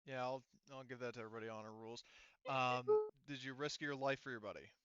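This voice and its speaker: A man's voice: medium pitch, steady tone, fast, sharp tone. A man acting as game master, talking casually through a tabletop roleplaying session and swearing now and then. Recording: slightly dull, dry close-up audio.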